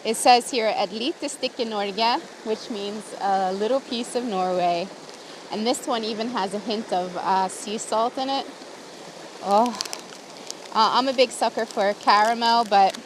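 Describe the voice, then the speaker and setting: Chatty American woman vlogging outdoors; a touch high, quick to laugh, faint outdoor hiss behind. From USA. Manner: chatty and giggly, talking to camera while cooking. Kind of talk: casual outdoor vlog chat. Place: outdoors by a campfire in the woods.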